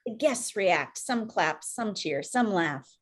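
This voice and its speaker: A woman's voice: mid-range voice, animated, takes it slowly. A woman reading scripted stage directions as narrator at a table read over video call.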